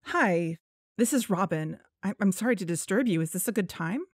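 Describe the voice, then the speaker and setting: A woman's voice: mid-range voice, lively intonation, moderate pace, dry recording, clean studio sound, lightly acted. An actress playing an earnest, persuasive young woman who hosts an investigative podcast in an audio drama. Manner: earnest, coaxing. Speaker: podcast host. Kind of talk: fiction podcast narration and phone dialogue.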